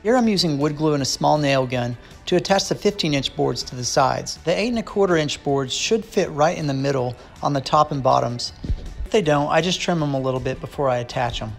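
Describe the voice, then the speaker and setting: Man narrating woodworking steps, calm. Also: on the high side, creaky, faint music behind. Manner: plain, measured instructional tone. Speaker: DIY woodworking instructor. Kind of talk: scripted step-by-step voice-over narration.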